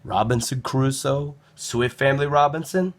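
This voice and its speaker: A man voicing a brisk, knowing woman head librarian who talks in an instructive, matter-of-fact way in a children's storybook. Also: medium pitch, pitched above the performer's own voice, close to an everyday voice. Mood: musing. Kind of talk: children's storybook read-aloud.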